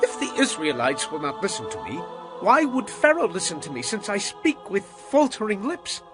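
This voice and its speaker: An actor playing a doubtful, reluctant Hebrew prophet in a scripture audio drama, pleading and unsure of himself. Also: male voice, sits high, wildly swooping pitch, normal speed, over music. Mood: anxious doubt.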